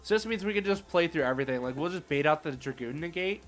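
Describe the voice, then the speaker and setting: A man's voice: a little high, animated, fast, soft background music. A man hosting a livestream and giving casual, slangy commentary on a card game as he thinks aloud.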